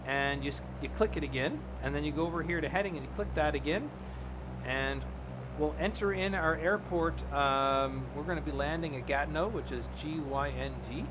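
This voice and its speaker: A man's voice: on the high side, moderate pace, background noise. A man giving a casual, informal live stream tutorial, explaining things step by step to his viewers. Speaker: live streamer, flight simulator host.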